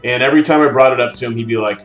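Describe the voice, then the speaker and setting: A man's voice: mid-range voice, racing, fairly bright tone. A man with a mid-range voice chats casually as a gaming podcast co-host. He is recorded in an echoey room, with faint music underneath. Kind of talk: casual chat about video games.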